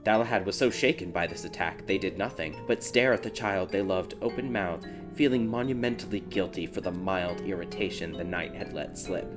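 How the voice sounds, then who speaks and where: A man narrating a dark fairy tale audiobook in a dramatic, scripted storytelling style. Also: sits low, a little fast, few pauses. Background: background music.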